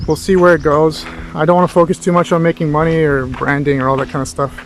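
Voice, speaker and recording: A man giving a rambling, reflective philosophical monologue like a tutor talking to himself, halting as he searches for words. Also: a little high, flat delivery, noisy background. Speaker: philosophy tutor and vlog host. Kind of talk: rambling, reflective monologue recorded while walking.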